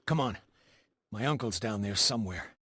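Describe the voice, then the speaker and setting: Grim frontier mercenary leader. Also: male voice, fairly low pitch, expressive, moderate pace, pushed and bright, breathy edge, dry recording, studio quality, heavily acted. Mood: somber. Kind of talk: dramatic video game cutscene acting. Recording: music behind the voice.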